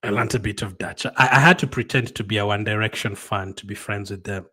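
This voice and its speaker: A man with a low, crisp-edged bright voice hosts a livestream. He chats casually with viewers in a laid-back manner, often pausing and restarting as he answers them. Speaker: livestream host. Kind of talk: casual chat, reading and answering viewer comments.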